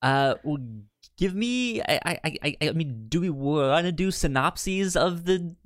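A man's voice: on the high side, animated, measured pace, no echo, studio quality. A man co-hosting a podcast in a casual, chatty style, often stammering and repeating the starts of words. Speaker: podcast co-host. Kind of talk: casual chat about TV sitcoms.

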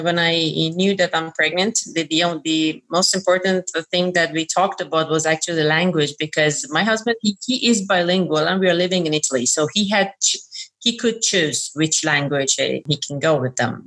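A woman's voice: on the low side, a bit bright, rough recording. A middle-aged woman from Iran with an Iranian accent, a guest in an interview, talking with many fillers and restarts and laughing often. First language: Persian. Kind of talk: casual interview conversation.

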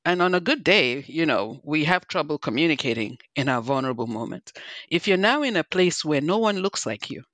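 Nigerian-accented woman with a low, dry-recorded voice. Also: animated, a little fast, fairly bright tone, no room sound. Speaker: guest, physician and health executive. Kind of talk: interview storytelling.

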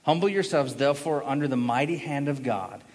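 A man's voice: mid-range voice, lively intonation, brisk, a little mellow. A man preaching a sermon as a pastor, speaking emphatically, repeating key phrases and posing rhetorical questions. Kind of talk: sermon to a congregation. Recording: echoey hall with long reverb.

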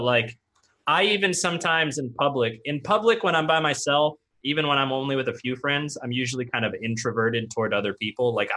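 A young man co-hosting a podcast, talking loudly and animatedly in casual chat. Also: mid-range voice. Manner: loud, animated, talkative. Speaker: podcast co-host.

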